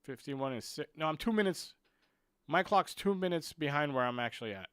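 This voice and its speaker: Male streamer, slightly high voice, dry close mic, fired-up fan commentary. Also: brisk, clean tone, no room sound. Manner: frustrated, excitable rants about players; muttering while fixing stream clock. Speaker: football watchalong streamer. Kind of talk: live stream match commentary.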